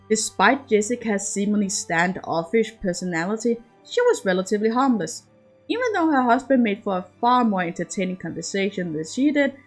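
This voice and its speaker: A woman reading a scripted romantic story aloud as an amateur narrator. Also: on the high side. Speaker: amateur fan fiction reader.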